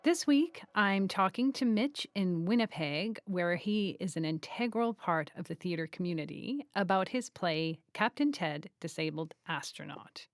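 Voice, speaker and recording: A woman's voice: lowish voice, lively intonation, measured pace, hardly any pauses, no echo, clean studio sound. A woman hosting a podcast, giving scripted introductions and interview questions. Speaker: podcast host, director and playwright. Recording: music under the voice.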